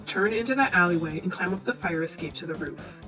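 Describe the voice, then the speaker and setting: A woman's voice: on the low side, lively intonation, brisk, close to an everyday voice, rough recording. A woman playing a bossy male supercomputer in a boy's head, giving dry instructions in an amateur comic dub. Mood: commanding. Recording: amateur old-device recording, music behind.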